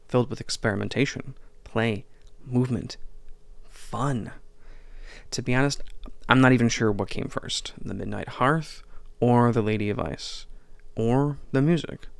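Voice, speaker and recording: A man's voice: mid-range voice, vocal fry, no echo. A man hosting a podcast, narrating personal reflections in an earnest, introspective manner. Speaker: podcast host.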